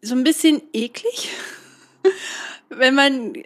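A woman's voice: high-pitched, lively intonation, takes it slowly, a bit bright. A young woman co-hosting a casual podcast, chatty and rambling, laughing often as she tells stories. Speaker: podcast co-host. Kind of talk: casual chat.

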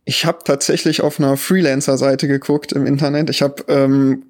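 A man in his thirties with a Rhineland German accent, a journalist answering podcast questions in a relaxed, thoughtful way with frequent ums. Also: mid-range voice, normal speed. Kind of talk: podcast interview.

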